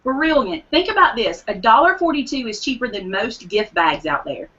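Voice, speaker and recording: Bright, eager woman hosting crafts. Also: a little high, big pitch swings, normal speed, dull audio. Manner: enthusiastic deal announcer. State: losing her voice. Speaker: co-host. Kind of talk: live online craft show chat.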